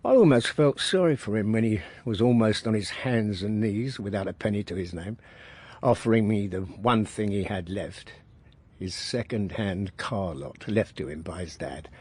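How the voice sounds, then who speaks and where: A working-class wheeler-dealer in middle age, reminiscing in a radio drama. His voice is low and husky. He tells it in colloquial, matter-of-fact fashion, proud of his own hustle. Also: normal speed, darkish tone, dry recording. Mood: nostalgic, wry. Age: middle-aged.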